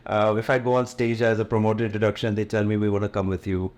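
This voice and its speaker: Middle-aged US promoter, Gujarati native, low voice, easygoing bilingual interview talk. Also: male voice, quick pace. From USA, born in India. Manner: relaxed, mixes English with Hindi, frequent fillers and light laughs. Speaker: event promoter, interview guest. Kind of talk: casual interview conversation.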